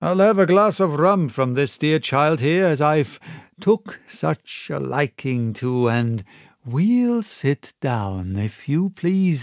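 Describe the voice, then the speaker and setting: A man's voice: on the high side, gravelly, dry recording, clean studio sound, full character voice. A man voicing a fawning, sneering middle-aged pirate with a maimed hand, wheedling and falsely friendly.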